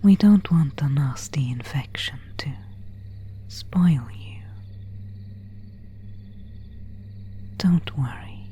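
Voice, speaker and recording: Woman as whispering, very slow vampire countess. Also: sits low, lively intonation, mellow, heavily acted. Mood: softly guarded. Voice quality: breathy whisper. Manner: slow, formal, old-fashioned diction. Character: pale, elegant vampire countess, courteous and quietly predatory. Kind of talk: whispered ASMR roleplay.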